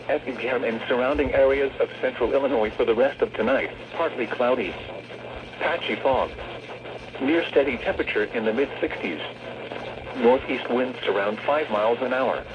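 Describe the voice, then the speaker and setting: A synthetic text-to-speech man reading scripted weather forecasts as an automated radio announcer, in a stilted delivery. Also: medium pitch. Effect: synthetic voice over weather radio. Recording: muffled, noisy weather radio speaker.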